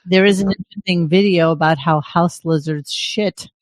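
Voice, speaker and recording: A man's voice: fairly high pitch, steady tone, moderate pace, no room sound. A man hosting a podcast that recaps a radio show, telling the story in a crude, meandering, casual way. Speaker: podcast host. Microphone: headset or desk mic on a live stream.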